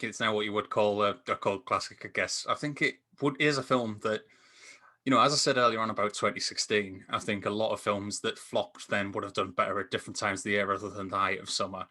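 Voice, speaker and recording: A man chatting casually as a film review panellist, in a halting way peppered with "like". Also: on the low side, bright, forceful tone.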